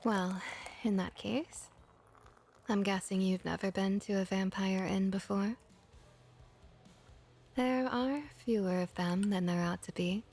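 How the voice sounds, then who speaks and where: A woman playing a friendly, comforting vampire innkeeper in a soft-spoken ASMR roleplay, gentle, reassuring and often whispery. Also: mid-range voice, steady tone, slow pace, long pauses. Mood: friendly, curious.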